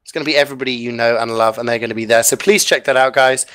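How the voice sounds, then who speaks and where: A man casting an online game match live, chatty and upbeat with frequent fillers, a bit hoarse as he loses his voice. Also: medium pitch, fairly even intonation, very fast, a bit bright. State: losing his voice. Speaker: esports caster. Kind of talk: live esports commentary and sign-off.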